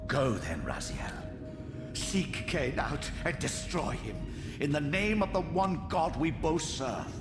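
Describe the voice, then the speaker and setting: An actor playing a sly, pious old man in a video game, an oily schemer with a creaky, aged, grandiloquent delivery. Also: on the high side, big pitch swings, slow pace, exaggerated voice. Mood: fervent, flattering. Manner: oily, pleading, grandiloquent, swooping. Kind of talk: theatrical game cutscene acting. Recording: echoey hall reverb, music underneath. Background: game score.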